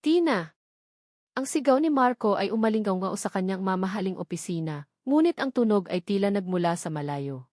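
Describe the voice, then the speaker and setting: A woman's voice: mid-range voice, lively intonation, brisk, a little mellow, dry recording, studio quality. A woman giving scripted, dramatic narration of a romantic story as its narrator.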